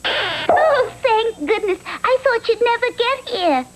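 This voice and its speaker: A woman's voice: extremely high pitch, expressive, slow pace, slight background noise, heavily acted, poor audio. A woman voicing a lovelorn, impatient fairy tale maiden in a comic cartoon, gushing and bossy by turns. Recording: old TV cartoon soundtrack with music.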